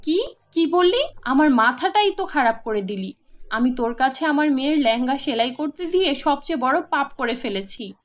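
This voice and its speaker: A woman voicing an angry middle-aged village auntie, sharp-tongued and accusing, for a children's cartoon. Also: sits high, animated, normal speed. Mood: furious.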